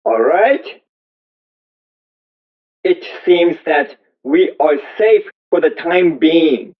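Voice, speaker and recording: A man reading a first-person story aloud as scripted narration, in slow, deliberate, measured phrases. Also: fairly high pitch, lively intonation. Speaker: audiobook reader. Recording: thin, phone-like narrow-band audio.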